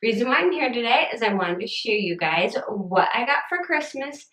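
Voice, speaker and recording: A young woman vlogging casually from home, chatty and upbeat, her voice a little scratchy and rough. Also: fairly high pitch, big pitch swings, normal speed, a bit bright, reverberant. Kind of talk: casual haul vlog chat.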